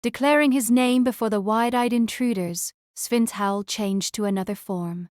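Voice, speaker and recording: A woman's voice: a little high, fairly even intonation, normal speed, a little mellow, dry recording, clean studio sound. A woman reading scripted audiobook narration of a story as its narrator.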